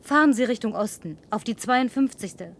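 A woman's voice: on the high side, animated, moderate pace, a little hiss or hum. An actress dubbing a lonely young woman in a film who talks in a playful, coaxing way and sometimes drops to a whisper. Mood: teasing. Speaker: survivor in a film, dubbed.

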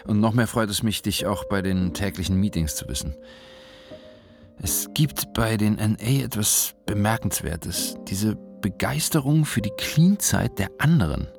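Radio play: a frustrated, earnest father and writer getting clean, low-pitched, halting delivery, music underneath. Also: expressive, gravelly. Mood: earnest, moved. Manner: hesitant, conversational. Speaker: radio drama actor. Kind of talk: naturalistic radio drama monologue.

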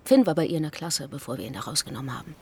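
A woman's voice: deep voice, expressive, rapid-fire, background noise. An actress playing a weary female hospital doctor and mother, curt and brusque in the way she talks. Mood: tired, subdued. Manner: clipped, brusque. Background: music.

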